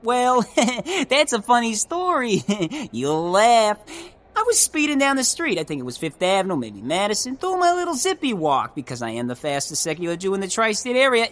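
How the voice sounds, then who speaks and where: Wildly swooping, jabbering little speedster. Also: female voice, medium pitch. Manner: jabbering, excitable, slangy yammering. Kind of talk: comedic audio drama acting.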